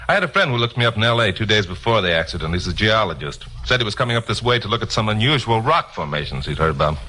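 Deep-voiced 1950s radio sleuth. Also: male voice, big pitch swings, quick pace, bright, forceful tone, low-quality recording. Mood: genial, probing. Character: self-assured male radio news commentator turned amateur sleuth. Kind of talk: 1950s radio drama acting and first-person narration. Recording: 1950s radio recording with music.

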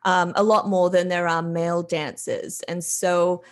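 A woman's voice: on the low side, flat delivery, measured pace. Woman with a light Australian accent. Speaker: guest. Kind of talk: casual podcast interview.

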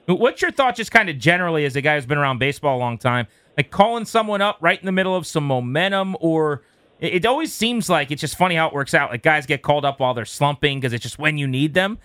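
A man's voice: on the high side, sharp tone, no room sound. An American man hosting a sports radio show, moving between commentary and interview questions.

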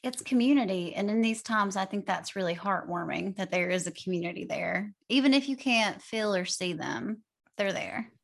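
A woman's voice: medium pitch, normal speed, creaky. A woman from rural North Carolina with a Southern accent, joining a podcast chat. Her voice sits in a middle register, and she talks in a playful, teasing way. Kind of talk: casual chat.

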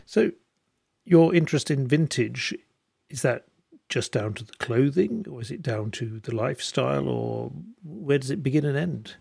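A man hosts a podcast interview, posing long, measured questions. His voice is gravelly and a little husky, and the recording sounds dry and close. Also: mid-range voice, expressive, darkish tone, no echo. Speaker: podcast host. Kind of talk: interviewing a guest.

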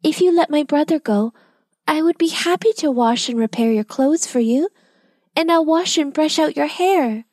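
A woman's voice: high voice, moderate pace, no room sound, studio quality, pitched above the performer's own voice. A woman doing a brave, polite little girl. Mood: kind. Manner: polite and calm. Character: brave, kind young girl.

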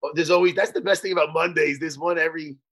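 A man's voice: on the high side, steady tone, quick pace, a bit bright, husky. A man co-hosting a podcast, trading jokes and teasing banter in casual chat. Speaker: podcast co-host.

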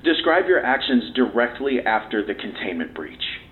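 An actor playing a cool official investigator who questions staff in a formal, probing, dry manner. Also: male voice, mid-range voice, big pitch swings, moderate pace, lightly acted. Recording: tinny narrow-band interview recording.

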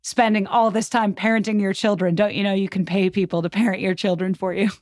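A woman's voice: mid-range voice, fairly even intonation, brisk, darkish tone, dry recording, clean studio sound. A woman podcast guest telling history in an informal, conversational, explanatory way with plenty of filler words. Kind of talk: conversational storytelling about history.

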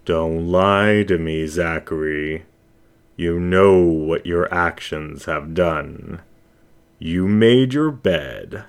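Unseen avenger for a story reading, played by a male narrator: deep, very slow, deliberate, menacing. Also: clean tone, exaggerated voice. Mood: accusing. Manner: slow, drawn-out, taunting.

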